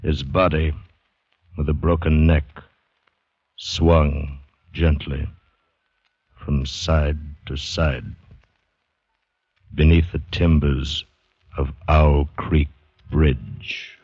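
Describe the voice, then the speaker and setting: Casting: ominous American narrator, extremely low, slow and solemn, vintage radio thriller. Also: male voice, little pitch movement, darkish tone, husky, no room sound, full character voice. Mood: grave. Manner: solemn, deliberate. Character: grave, menacing announcer of doom. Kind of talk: old-time radio drama narration. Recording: muffled vintage radio recording.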